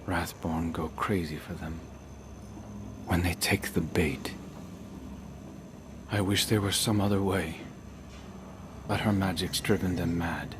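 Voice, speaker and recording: Low, slow, brooding hunter. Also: male voice, noisy background. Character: brooding male light bearer hunter haunted by strange dreams. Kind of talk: cinematic video game dialogue.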